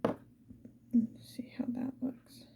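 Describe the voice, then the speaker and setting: A woman whispering breathily in a casual, rambling ASMR style, unhurriedly thinking aloud. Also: very slow. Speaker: ASMR video creator.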